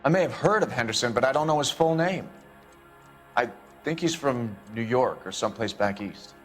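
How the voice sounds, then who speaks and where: An actor playing an evasive, smooth-talking businessman under questioning in a game, guarded and politely hedging. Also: medium pitch, animated, moderate pace, soft background music, natural voice. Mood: uneasy, evasive. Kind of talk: dramatic interrogation dialogue.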